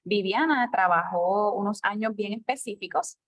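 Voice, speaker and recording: A woman, mid-pitched, moderates a lecture Q&A for an archive. She speaks in a measured, formal way as she relays audience questions. Her voice is recorded close and dry. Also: a bit bright, no echo.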